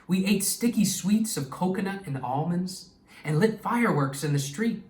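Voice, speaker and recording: A man's voice: sits high, lively intonation, normal speed, darkish tone, pitched above the performer's own voice. Man reading as a kindly old grandfather, echoey room. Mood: nostalgic. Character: kindly old Indian grandfather, good-humored, reminiscing. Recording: echoey room, distant microphone.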